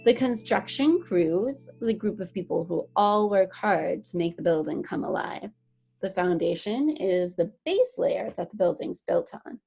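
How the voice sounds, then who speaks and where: A woman reading a picture book aloud to young children in an animated, encouraging way, explaining things simply as a teacher would. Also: fairly low pitch, unhurried, gravelly, slightly rough.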